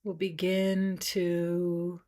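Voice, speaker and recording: Woman doing a guided meditation, really slow and gentle, lowish voice, soft and a bit breathy. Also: monotone. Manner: gentle, unhurried, with long pauses. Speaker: meditation teacher.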